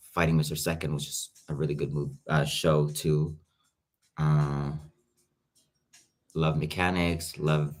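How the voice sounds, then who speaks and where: A young man with a Filipino accent chatting casually on a livestream, greeting viewers by name as he talks. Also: a bass voice. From Philippines. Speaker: YouTube reactor.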